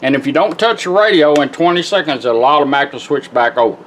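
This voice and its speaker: A man's voice: fairly high pitch, expressive, quick pace, slight background noise. A man giving a casual, folksy, plain-spoken demonstration as the presenter of a product. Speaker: presenter demonstrating a car radio. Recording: radio music playing behind. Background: car radio music.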